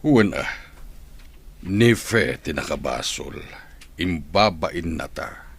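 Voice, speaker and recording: A man playing a stern, proud elderly father in a radio drama, terse and gruff, with an Ilocano accent. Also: deep voice, expressive, slow pace, a bit bright, a little hiss or hum, heavily acted. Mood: stern, bitter.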